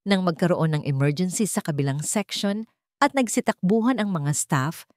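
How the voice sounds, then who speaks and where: A woman's voice: lowish voice, expressive, normal speed, a little mellow, no echo, studio quality. A woman storyteller reading scripted fiction in a dramatic narration style.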